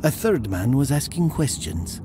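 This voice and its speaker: A man playing a haunted, fearful storyteller, narrating an audio drama in a halting, hushed, intense way. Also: mid-range voice, sing-song, background noise. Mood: hushed dread. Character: haunted, fearful male storyteller recalling an eerie descent.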